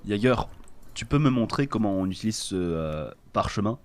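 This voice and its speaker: A man's voice: fairly low pitch, animated, moderate pace. A man playing a bold, self-assured adventurer in a fantasy audio drama, speaking assertively. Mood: confident. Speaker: voice actor in an amateur audio fiction. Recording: clear background noise and ambience.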